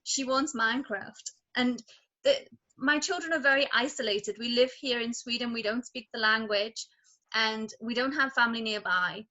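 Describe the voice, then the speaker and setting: A woman's voice: a little high, normal speed, sharp tone, muffled recording. A mother and group facilitator talking on a video call and praising things effusively.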